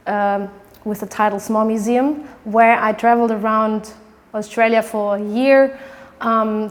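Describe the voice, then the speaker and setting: Woman, German accent, non-native English speaker, photographer in an interview, hesitant delivery, many fillers, medium pitch. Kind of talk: artist interview monologue.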